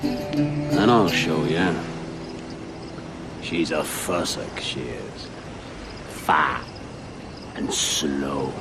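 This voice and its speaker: An actor playing a blunt, swaggering veteran pirate leader with a British accent in a video game. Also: male voice, extremely low pitch, drawn-out words, gravelly, pauses often, heavily acted. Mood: dismissive, sly. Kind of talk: cinematic video game acting. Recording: loud music under the voice.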